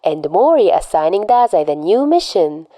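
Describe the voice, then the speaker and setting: A woman reads fiction like an audiobook, with a fairly low, mellow voice. The delivery is expressive and moves widely in pitch. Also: normal speed, dry recording. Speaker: podfic narrator. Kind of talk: expressive audiobook-style story narration.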